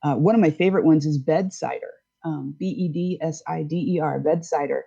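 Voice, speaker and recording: A woman's voice: deep voice, a little fast, vocal fry, dark tone, husky, lo-fi sound. A woman in her fifties, a sex educator, explaining things frankly and easily in a casual podcast chat. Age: 50s.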